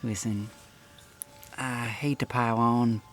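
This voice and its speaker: An actor playing a protective, plain-spoken farmhand in an audio drama, folksy and halting as he reassures. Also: mid-range voice, fairly even intonation, soft background music, natural voice. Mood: firm, concerned.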